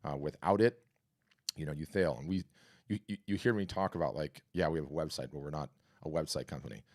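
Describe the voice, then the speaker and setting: A man hosting a live art business workshop and answering questions, talking in an energetic, conversational, salesy way with a scratchy, hoarse voice. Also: very deep voice, fairly quick. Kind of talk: live webinar talk and Q&A.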